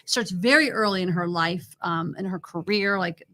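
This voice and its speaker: A woman's voice: on the low side, normal speed, pushed and bright. A woman co-host chatting casually and with firm opinions as she reviews entertainment. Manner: opinionated, conversational, argues her point. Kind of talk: casual film and book review chat.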